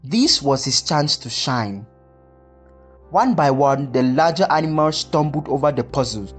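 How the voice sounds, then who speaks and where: Folktale narrator, scripted storybook delivery, medium pitch, music behind.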